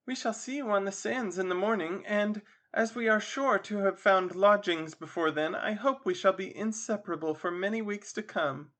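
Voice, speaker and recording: A man's voice: high-pitched, normal speed, hardly any pauses, a bit bright, voice pushed up. A man voicing a genteel, gushing captain's wife in a storybook, full of effusive sweetness.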